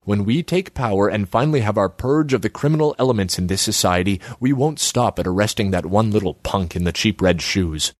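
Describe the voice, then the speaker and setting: Man playing a pompous politician, animated delivery. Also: mid-range voice, a little fast, no echo, studio quality, pitched above the performer's own voice. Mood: righteous, airy. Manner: pompous, wordy. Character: pompous, airy male politician and lawyer, self-righteous.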